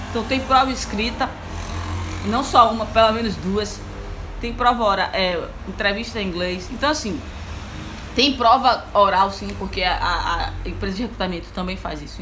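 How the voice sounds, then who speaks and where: A young Brazilian woman, an engineer, talking casually to her online audience as a mentor, lively and chatty with many fillers. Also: mid-range voice, slight background noise. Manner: lively, chatty, frequent fillers like "então, assim". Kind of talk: casual live talk to an audience.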